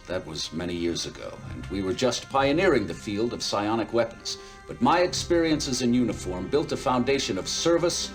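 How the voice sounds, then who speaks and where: An actor playing an aging, pompous president and former soldier giving a formal, grandiose speech in a game cutscene. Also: male voice, mid-range voice, lightly acted. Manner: grandiose, oratorical.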